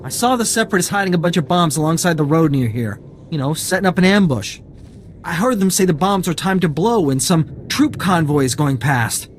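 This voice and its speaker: An actor playing an aggrieved, shifty informant in a video game, whining and wheedling for payment. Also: male voice, on the high side, expressive, fairly quick, a little hiss or hum, close to an everyday voice. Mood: grudging. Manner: whiny, indignant, wheedling.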